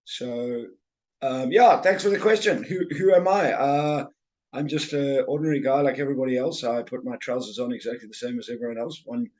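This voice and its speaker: A man's voice: mid-range voice, fairly even intonation, brisk, darkish tone, low-quality recording. A middle-aged man with a Zimbabwean accent, talking casually as an interview guest and often repeating words as he starts a thought. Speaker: guest, sales trainer and speaker.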